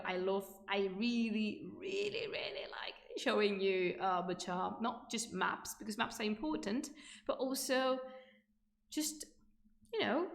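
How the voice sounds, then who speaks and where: An echoey room surrounds a woman with a distinctly creaky voice. She presents history live to an online audience in an informal, chatty way. Her delivery is enthusiastic and digressive, often stepping aside from the lecture. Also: medium pitch. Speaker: livestream host presenting ancient history. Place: indoor room, livestream.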